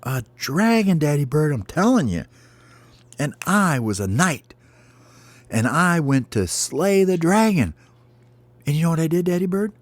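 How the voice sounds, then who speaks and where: A man's voice: fairly high pitch, big pitch swings, measured pace, pauses often, no echo, voice pushed up. A man voicing a brave, cocky baby bird knight in a children's story, with mock-heroic declarations. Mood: excited.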